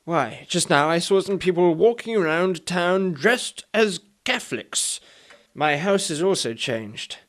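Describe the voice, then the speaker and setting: An actor playing a haughty, bewildered Renaissance knight in a comic radio play, declaiming in archaic, self-important speech. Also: male voice, high-pitched, animated, a little slow, a bit bright. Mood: puzzled, earnest. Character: pompous Renaissance knight, bewildered time traveller, haughty and literal-minded.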